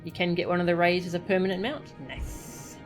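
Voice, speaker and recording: A woman streaming a game, chatting casually and reading tutorial text aloud, easily delighted and reacting out loud. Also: on the low side, fairly even intonation, a little fast, over music.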